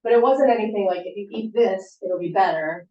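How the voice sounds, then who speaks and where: A woman's voice: mid-range voice, brisk, warm tone. Chatty woman therapist in an echoey room. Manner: chatty, informal, many fillers and restarts. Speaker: sleep therapist giving a talk. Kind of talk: casual talk answering audience questions. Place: meeting room at a support center. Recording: echoey room, distant mic, muffled.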